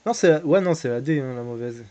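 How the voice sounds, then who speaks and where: Man from France, quick joking commentary over a game, rapid-fire delivery. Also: medium pitch, animated, dark tone. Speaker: let's play commentator. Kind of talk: casual gaming commentary.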